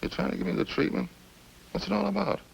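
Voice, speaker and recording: A man's voice: high voice, expressive, quick pace, creaky, full character voice, rough recording. An actor playing an evasive male ex-convict suspect in a 1950s radio crime drama, full of indignant, slangy denials. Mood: defensive. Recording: hissy old-time radio recording.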